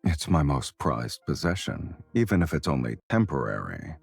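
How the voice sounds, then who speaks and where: Very deep teasing game rogue. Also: male voice, sing-song, normal speed, vocal fry, breathy edge, no echo, faint music behind, heavily acted. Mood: wry, smooth. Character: teasing, smooth, worldly male arms and antiques collector. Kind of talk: acted video game dialogue.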